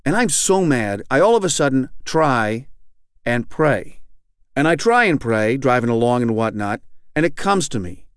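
A man's voice: medium pitch, sing-song, normal speed, clean tone, no echo, clean studio sound, close to an everyday voice. A man reading aloud as an angry, profane middle-aged man in recovery, rambling and repeating himself. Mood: bitter, heated. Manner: rambling, profane, keeps asking "you know what I'm saying". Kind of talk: reading aloud a fictional monologue.

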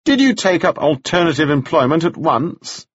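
A man's voice: fairly high pitch, very animated, normal speed, slightly rough, no echo, muffled recording, voice pushed up. A man voicing a sly male prosecutor who questions a witness with pointed, pleasant-sounding phrasing. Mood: probing.

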